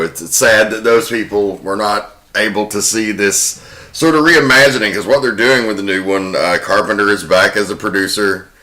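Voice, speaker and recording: A man hosting a podcast, giving measured, deliberate commentary that sounds partly prepared. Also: low-pitched, a bit bright. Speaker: podcast host. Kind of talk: semi-scripted podcast commentary.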